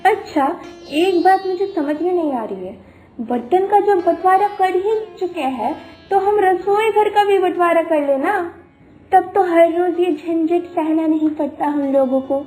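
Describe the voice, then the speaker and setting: A woman's voice: very high-pitched, unhurried, warm tone, soft background music. A woman playing a whiny, indignant younger sister-in-law who complains sarcastically and melodramatically in a cartoon story. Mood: sarcastic, annoyed. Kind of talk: Hindi animated moral story acting.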